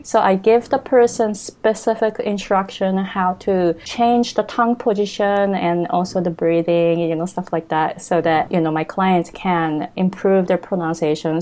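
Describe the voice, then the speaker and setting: A woman's voice: mid-range voice, darkish tone. A woman whose first language is Japanese, a pronunciation coach speaking in a casual, earnest podcast interview with frequent fillers and laughs. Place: Hawaii.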